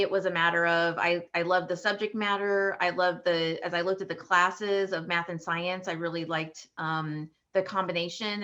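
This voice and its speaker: Texan woman, 40s, patent attorney type; low, bright voice, hesitant delivery, lo-fi call audio. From Texas. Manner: halting, many ums and restarts. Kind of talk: career story for students.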